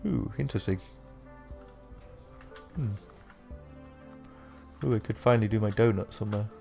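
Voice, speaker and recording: A young man with a sore, croaky throat, rambling casually through let's-play commentary with lots of fillers. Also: fairly low pitch, normal speed, mellow, faint music behind. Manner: rambling, self-deprecating, with many fillers. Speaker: gaming YouTuber.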